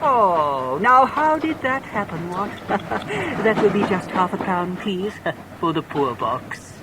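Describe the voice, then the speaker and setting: A man's voice: high-pitched, sing-song, slow pace, background noise, heavily acted. An actor playing a scheming, falsely jovial clergyman in an audio drama, pompous and chuckling, given to tagging remarks with "what?". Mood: sly, chuckling.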